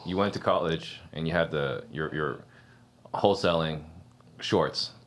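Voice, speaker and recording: A man's voice: very deep voice, a little slow, fairly bright tone. A man hosting a casual interview, recapping his guest's story and cheering him on with short affirmations. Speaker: host.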